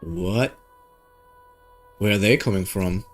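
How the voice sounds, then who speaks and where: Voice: low voice, takes it slowly, faint music behind. A gaming streamer giving casual playthrough commentary, informal and reacting aloud with sarcastic asides.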